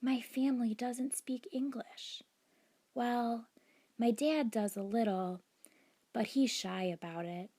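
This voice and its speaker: A woman voicing a shy, earnest schoolgirl in a children's storybook, soft and confiding, slipping into whispers. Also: a little high, steady tone, slow, pitched above the performer's own voice. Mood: confiding, worried.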